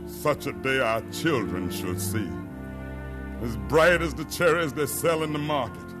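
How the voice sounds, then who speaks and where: A man's voice: medium pitch, unhurried, lightly acted. An actor playing a proud, defiant freed slave facing his sentence, with solemn, impassioned oratory in a 1950s radio drama. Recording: 1950s radio recording, music behind.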